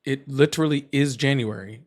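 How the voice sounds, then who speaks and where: A man hosting a casual political call-in livestream, animated and chatty, laughing often and checking in with "all right?" and "okay?" as he reads chat and argues with callers. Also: medium pitch, brisk. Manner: argumentative with callers. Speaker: livestream host.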